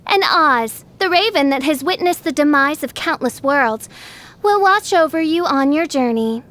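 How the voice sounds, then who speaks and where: A woman voicing a theatrical teenage girl in a video game who styles herself a dark princess, with grandiose, archaic speech. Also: high voice, expressive, moderate pace. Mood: solemn, dramatic. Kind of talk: scripted video game character acting.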